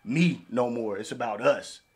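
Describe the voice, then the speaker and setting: A man's voice: mid-range voice, a little slow, a little mellow. A man in a casual podcast discussion, speaking earnestly and emphatically and circling back over his points. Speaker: podcast panelist.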